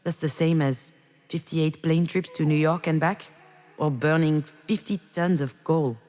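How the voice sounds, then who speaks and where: A reflective, grieving mother speaks in a low-pitched voice that rings with long reverb. Her delivery is introspective and measured, a confessional first-person monologue from a narrative video game. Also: a little mellow, faint music behind. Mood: bitter regret. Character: reflective grieving mother, a screenwriter, recalling memories. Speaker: narrating protagonist of a visual novel. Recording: long reverberant hall echo.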